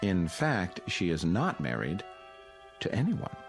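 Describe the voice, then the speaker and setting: A deep, expressive voice plays a condescending psychiatrist in an audio drama. He is measured and intellectual, calmly patronizing as his pitch rises and falls. Music plays clearly behind him. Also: full character voice. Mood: veiled threat. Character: calm, condescending male psychiatrist at a mental institution. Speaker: actor.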